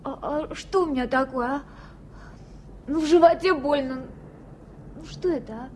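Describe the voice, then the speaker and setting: An actress playing a stubborn, naive young woman in pain in a 1980s Soviet radio drama, speaking in halting, hesitant bursts. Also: sits high, close to an everyday voice. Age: young adult. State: pained. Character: stubborn young provincial female exam applicant in pain. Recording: faintly noisy archive radio play recording.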